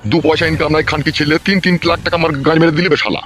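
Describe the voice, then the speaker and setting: A man's voice: a little high, steady tone, very fast, fairly bright tone, lightly acted. A man voicing an angry, bitter older father in a comedy cartoon, scolding and cursing.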